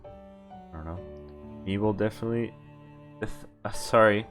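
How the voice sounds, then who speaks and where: A man's voice: fairly low pitch, moderate pace, soft background music. A man streaming a visual novel, reading the text aloud and breaking off into casual asides. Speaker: game streamer.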